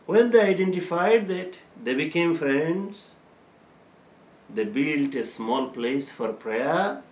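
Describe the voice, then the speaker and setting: Slow-speaking older man teaching, echoey room. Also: on the high side, lively intonation, a little mellow. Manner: explains verses slowly, chanting Arabic recitation between. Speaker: Islamic teacher. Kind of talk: religious lesson with Quran recitation. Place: plain room with a bare wall.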